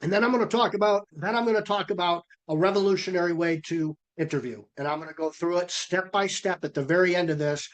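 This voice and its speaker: Middle-aged man, slightly hoarse coach voice. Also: high-pitched, fast, few pauses. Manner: folksy, energetic sales-coach delivery. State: losing his voice from a cold. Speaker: presenter. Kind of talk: webinar presentation. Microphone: video call.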